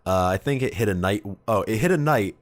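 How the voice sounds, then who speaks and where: A man with a very low voice hosts a casual, conversational podcast. He recounts things in a wry, self-deprecating way and swears freely. The sound is dry, with no room echo. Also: lively intonation, fairly quick. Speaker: podcast host. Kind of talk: casual chat recounting tabletop wargame battles.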